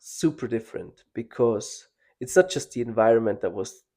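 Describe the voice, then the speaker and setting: Mellow-voiced man, halting and reflective. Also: medium pitch. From Switzerland. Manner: reflective, halting, many restarts and fillers. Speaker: podcast host. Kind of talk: casual personal conversation.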